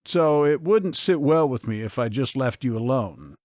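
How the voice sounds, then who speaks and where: A relaxed, kind small-town man, voiced by a male reader in an audiobook. He talks in a friendly, teasing way at a medium pitch. The recording is dry and close. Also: moderate pace, no echo, close to an everyday voice. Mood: easygoing.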